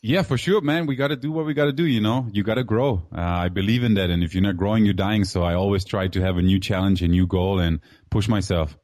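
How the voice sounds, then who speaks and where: A man's voice: lowish voice, quick pace, few pauses, a little mellow, dry recording, clean studio sound. A man who grew up in Germany, in his thirties, telling his life story in a casual interview with many fillers and an open, candid way of talking. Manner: candid, filler-heavy, calls host "man". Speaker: guest entrepreneur.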